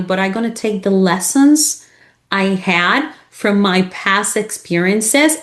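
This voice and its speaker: Enthusiastic woman host. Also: medium pitch. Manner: enthusiastic, sums up the guest's answers. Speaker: podcast host, interviewer. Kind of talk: interview questions.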